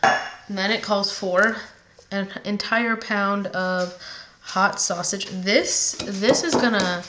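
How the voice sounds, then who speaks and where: A woman's voice: mid-range voice, slow pace. A woman hosting a home cooking vlog, chatting casually and rambling a little, sounding nasal while she gets over a head cold. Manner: chatty, rambling, laid-back with many fillers. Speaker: home cooking channel host. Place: home kitchen. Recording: echoey room, faint background noise.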